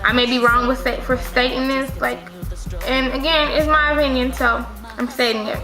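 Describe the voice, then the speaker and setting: A woman's voice: sits high, flat delivery, moderate pace, roomy sound, over music. A woman in her twenties talking casually to camera, rambling and correcting herself often. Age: 20s. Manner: rambling, frequent self-corrections and "like" fillers. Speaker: vlogger. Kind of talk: casual opinion monologue.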